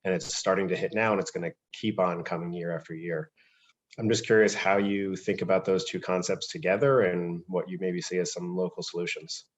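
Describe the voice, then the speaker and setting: A man's voice: sits low, fairly even intonation, brisk. A man moderating an online panel discussion, putting thoughtful questions in a conversational way. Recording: dull lo-fi video-call audio.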